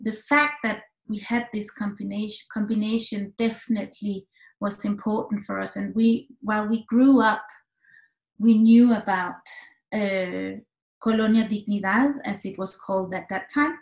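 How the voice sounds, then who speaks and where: A woman's voice: mid-range voice, fairly even intonation, normal speed, gravelly, echoey room, poor audio. A middle-aged woman from Denmark, a film director answering questions on a video call, thoughtful and full of restarts and fillers. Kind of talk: online film talk answers. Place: home room with echo. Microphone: computer mic on a video call.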